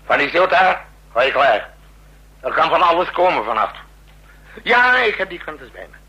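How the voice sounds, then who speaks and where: An actor playing a commanding, brusque president in a Dutch radio drama, barking orders and grumbling asides. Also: male voice, on the high side, sing-song, moderate pace, pushed and bright, slightly rough, heavily acted, rough recording. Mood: brisk, commanding.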